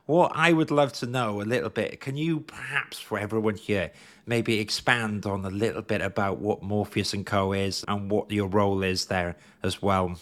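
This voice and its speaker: A man's voice: on the low side, a bit bright. A man in his thirties hosting a live stream interview, chatty and joking with his audience. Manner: chatty, jokey, upbeat.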